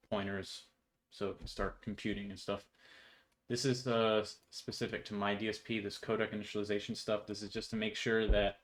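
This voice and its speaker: Casual young man with a lowish voice. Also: a bit bright. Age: young adult. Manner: informal, offhand, explanatory. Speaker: programmer presenting own project. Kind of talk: casual code walkthrough tutorial. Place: indoors in a home room.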